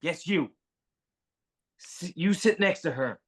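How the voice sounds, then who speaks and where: An actor playing a brusque, exasperated Navy admiral with a gravelly, husky voice, giving halting, clipped orders in a screenplay table read. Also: medium pitch, animated. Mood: commanding.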